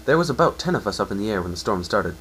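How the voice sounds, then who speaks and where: A man voicing a downcast, self-blaming young male pegasus, telling his story in an earnest, halting way. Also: fairly low pitch, big pitch swings, fairly bright tone, a little hiss or hum, low-quality recording. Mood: earnest, regretful.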